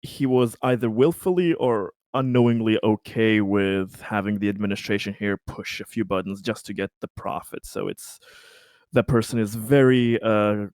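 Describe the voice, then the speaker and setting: A man's voice: lowish voice, steady tone, normal speed, darkish tone, dry recording. A man talking casually as a player in a tabletop game, hesitant and correcting himself as he goes.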